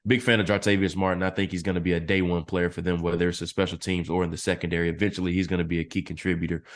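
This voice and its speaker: A man analyzing football draft picks as a podcast guest, his voice a little hoarse and clearing his throat now and then. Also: deep voice, very fast. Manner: steady analytical explaining. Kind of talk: casual podcast interview.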